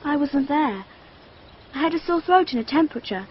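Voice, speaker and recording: A high, lilting girlish voice in a dull radio play recording. The British schoolgirl is precocious and dreamy, speaking with earnest, childlike candour. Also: expressive, moderate pace, slight background noise. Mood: earnest, secretive. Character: precocious, dreamy, matter-of-fact young schoolgirl. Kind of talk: radio drama acting.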